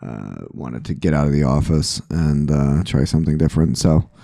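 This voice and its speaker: A man with a very deep voice hosts a podcast, speaking candidly and with a dry sense of humor. His tone is dark and mellow, and the sound is dry and close. He leans on "uh" fillers as he speaks. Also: normal speed, gravelly, dry recording. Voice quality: low voice. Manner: candid, joking, frequent "uh" fillers. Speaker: podcast host, sober living owner. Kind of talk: casual chat. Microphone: poor microphone, hard to hear.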